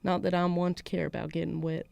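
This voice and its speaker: A woman's voice: on the low side, level tone, quick pace, gravelly, a little mellow, no echo. A woman voicing a defiant small-town schoolgirl in a put-on Southern US accent, narrating a first-person story. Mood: wry, defiant. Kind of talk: first-person literary fiction read aloud.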